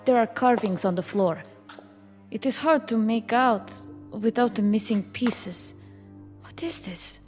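A woman's voice: a little high, measured pace, darkish tone, lightly acted. A woman playing a reserved, observant special agent in a science fiction audio drama. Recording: long hall reverb, music underneath.

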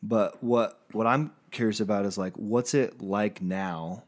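Low-pitched man hosting a casual podcast. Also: a little slow. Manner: informal, slangy, enthusiastic. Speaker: podcast co-host.

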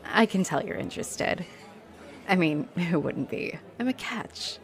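A woman's voice: on the low side, expressive, a little slow, gravelly, faint music behind. A woman playing a friendly, teasing, flirty female halfling orc adventurer in a roleplay audio addressed to the listener. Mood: playful, flirty. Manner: teasing, chuckling, coy. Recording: noticeable noise behind the voice.

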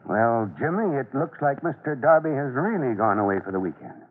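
An actor playing an earnest, authoritative fire chief in an old-time radio serial, speaking deliberately. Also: male voice, medium pitch, expressive, natural voice. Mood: concerned, urgent. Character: calm, authoritative fire chief investigating, duty-minded man. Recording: tinny, band-limited old radio recording.

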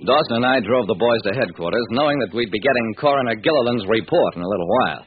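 A noisy, muffled old radio recording carries an actor as a hard-boiled male detective. He tells his crime story in dramatic, clipped phrases, with an animated rise and fall. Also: medium pitch, quick pace, close to an everyday voice. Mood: brooding, probing. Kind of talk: 1940s radio acting, first-person crime narration.

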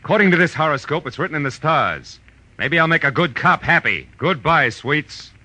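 A man's voice: a little high, animated, normal speed, noisy background, lightly acted. A man playing a tough, wisecracking private eye in a clipped, sardonic, slangy 1940s radio detective style. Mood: wry, excited. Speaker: radio drama lead actor. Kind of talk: hard-boiled 1940s radio detective acting. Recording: old radio broadcast with music.

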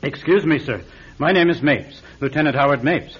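An actor playing a doomed German actor posing as an American, earnest and resigned, with a cough, in a 1940s radio drama. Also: male voice, a little high, moderate pace, breathy edge. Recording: dull lo-fi radio recording with loud hiss.

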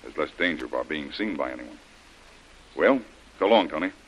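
An American actor playing a tough, commanding adventurer in an old-time radio drama, giving brisk, impatient orders. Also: male voice, rumbling low, expressive, slightly rough, exaggerated voice. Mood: resolute, businesslike. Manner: brisk, authoritative, clipped orders. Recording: old radio broadcast with faint noise.

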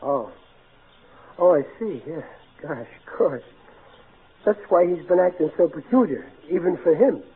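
An actor playing a blustering, soft-hearted working-class American family man, folksy and bumbling, in an old-time radio comedy. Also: on the high side, lively intonation, a little slow, long pauses, breathy edge, exaggerated voice. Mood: sympathetic. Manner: folksy, bumbling, exasperated, ungrammatical. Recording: tinny, noisy vintage radio recording.

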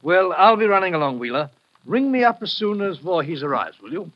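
Polite brisk businessman, kinda high lively voice, dull old radio sound. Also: slight background noise. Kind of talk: 1940s radio acting.

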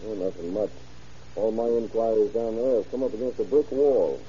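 An actor playing a brisk, dutiful sheriff's deputy in an old-time radio crime drama, reporting facts in a plain, official way. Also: male voice, on the low side, warm tone, lightly acted. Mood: matter-of-fact. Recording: dull, noisy vintage radio recording.

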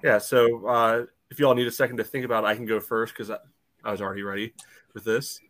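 A man's voice: lowish voice, flat delivery, fast talker, fairly bright tone. A man co-hosting a sports podcast in casual discussion, chatty and often dropping "you know" into his sentences.

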